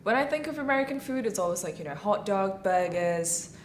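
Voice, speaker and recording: A woman's voice: mid-range voice, fairly quick. Young woman in a roomy space. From Singapore. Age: young adult. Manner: chatty, animated, laughs easily. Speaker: international student interviewee. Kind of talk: casual interview answers. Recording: roomy echoey room, faint noise.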